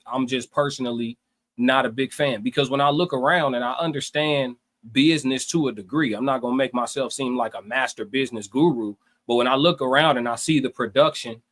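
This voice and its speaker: A man's voice: mid-range voice, brisk, a bit bright. A man co-hosting a livestream, talking casually through his opinions, stacking points in lists and checking that listeners follow. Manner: repetitive, builds points in lists, checks in with "you know what I'm saying". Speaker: livestream co-host.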